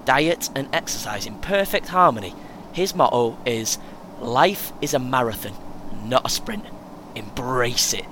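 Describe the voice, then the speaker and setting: A man playing a chatty, excitable, over-prepared first-time runner in an audio play, speaking in clipped short phrases. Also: mid-range voice, measured pace, low-quality recording. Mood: excited. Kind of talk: naturalistic audio play acting. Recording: clear background noise, vehicle ambience.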